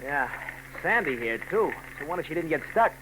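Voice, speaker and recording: Male excitable working-man cabbie, high-pitched, colloquial, 1940s radio drama with heavy noise. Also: a little fast. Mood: startled. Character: excitable, folksy male taxi driver, family man. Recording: noisy old radio recording.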